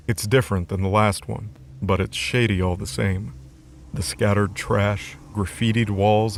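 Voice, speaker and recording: A man's voice: fairly low pitch, a little hiss or hum. A man narrating a scripted fantasy story as the narrator of an audio drama. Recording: music under the voice.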